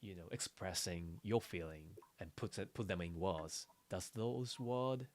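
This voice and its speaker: Low-voiced man chatting haltingly. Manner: halting, searching for words, repeats phrases. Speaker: podcast co-host. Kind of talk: casual unscripted chat.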